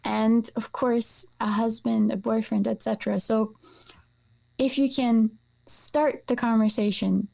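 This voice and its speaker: A woman's voice: fairly high pitch, steady tone, measured pace, vocal fry, mellow. A woman from Iceland speaking as an interview guest, explaining things in a casual, conversational way. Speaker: guest, nutrition practitioner.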